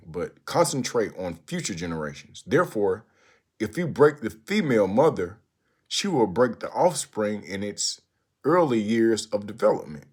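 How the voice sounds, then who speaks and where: A man with a fairly low voice reads a formal speech aloud. His delivery is deliberate and oratorical, stressing phrases and leaving pauses between them. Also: breathy edge. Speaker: narrator reading a historical speech aloud. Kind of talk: recited speech read aloud.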